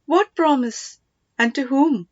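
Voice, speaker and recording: A woman's voice: high-pitched, takes it slowly, darkish tone, dull audio, pitched above the performer's own voice. A woman voicing an earnest young king in a storybook, with an emphatic, questioning manner. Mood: bewildered, protesting.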